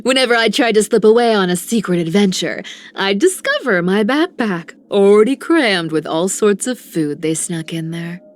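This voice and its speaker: A woman's voice: mid-range voice, lively intonation, normal speed, lightly acted. A woman playing a mischievous, cocky young rogue in a video game, breezy and teasing. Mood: playful teasing.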